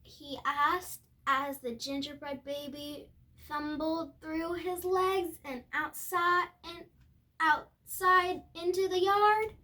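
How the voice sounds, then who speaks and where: A young girl hosting a video, reading a storybook aloud haltingly, repeating and correcting words, with casual asides to viewers. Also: extremely high pitch, fairly even intonation, sharp tone. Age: child.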